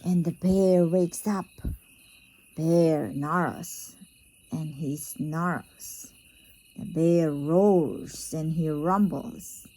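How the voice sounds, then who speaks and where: Unhurried, mellow woman reading a bedtime story. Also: deep voice, steady tone, rough recording. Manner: drawn-out, sing-song emphasis on key words. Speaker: parent reading a bedtime picture book aloud to a young child. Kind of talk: expressive storybook read-aloud for young children.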